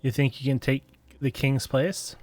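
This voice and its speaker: Guy playing a game n reading choices out loud, casual, dry close sound. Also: mid-range voice, level tone, measured pace, dry recording. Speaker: gamer reading dialogue choices aloud. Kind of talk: casual gameplay commentary.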